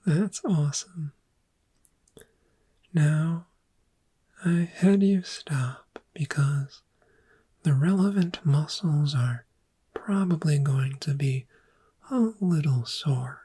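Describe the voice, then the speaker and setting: A woman playing a gentle, patient dragon therapist in a soft-spoken ASMR roleplay, with a breathy, whispery, measured and reassuring delivery. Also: low-pitched, heavily acted. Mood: soothing, encouraging.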